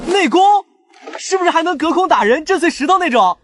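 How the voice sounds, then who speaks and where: A man voicing an excitable, chatty young sidekick in an audio drama. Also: very high voice, brisk, slight background noise. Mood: curious, excited. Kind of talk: dubbed audio drama dialogue.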